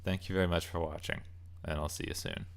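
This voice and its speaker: Low-voiced man giving a casual opinion rant. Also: fairly even intonation, fast, vocal fry. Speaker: video game channel host and commentator. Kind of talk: casual opinion rant about game movies.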